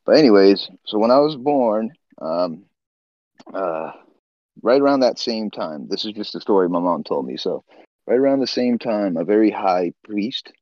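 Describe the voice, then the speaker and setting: A man from Nepal, a guest on an interview podcast, telling his life story in a relaxed, chatty way with frequent "uh" and "you know". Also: on the low side, moderate pace, dry recording, lo-fi sound. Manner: laid-back, laughs often, trails off with "uh" and "you know". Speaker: guest, swimbait builder.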